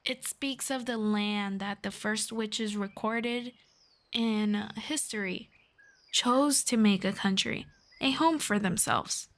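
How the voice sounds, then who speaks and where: A woman playing a gentle, earnest elf friend in a soft-spoken, measured ASMR fantasy roleplay. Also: mid-range voice. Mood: calm, confiding. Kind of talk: slow scripted ASMR roleplay.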